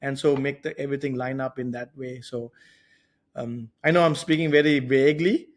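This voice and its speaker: A man's voice: mid-range voice, fairly even intonation, normal speed. A man talking casually and reflectively on a podcast, tentative and restating his points as he goes. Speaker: podcast speaker.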